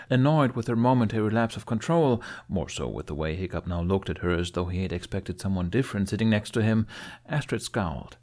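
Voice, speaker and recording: A man narrates a fantasy story in a fairly low voice. His delivery is scripted and expressive, in the manner of an audiobook.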